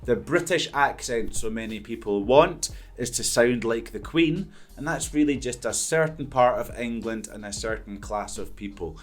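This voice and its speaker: A man's voice: mid-range voice, animated, normal speed, hardly any pauses. Upbeat Scottish man, teacher to camera. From Scotland. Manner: upbeat and earnest, emphatic stresses. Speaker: English teacher. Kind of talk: direct-to-camera talk answering questions. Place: indoors before a green-screen backdrop. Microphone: studio condenser mic in front.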